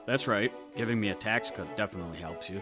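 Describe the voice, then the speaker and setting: Smug conservative activist played by a man, slightly deep voice, pompous and self-righteous, for comic radio drama. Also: fast, over music, lightly acted. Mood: brazenly smug. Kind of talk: satirical radio drama acting.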